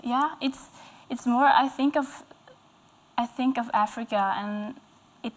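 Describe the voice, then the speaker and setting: A woman's voice: fairly high pitch, slow pace. A young woman musician with a Norwegian accent, a native Norwegian speaker answering interview questions hesitantly as she searches for words. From Norway, west coast. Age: young adult. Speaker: guest, musician. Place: indoor stage with dark curtains.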